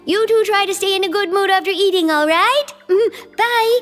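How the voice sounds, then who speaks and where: A woman voicing a polite, gentle young non-human girl who speaks in a calm, matter-of-fact way. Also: very high voice, moderate pace. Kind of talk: video game voice acting. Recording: game audio with reverb and music.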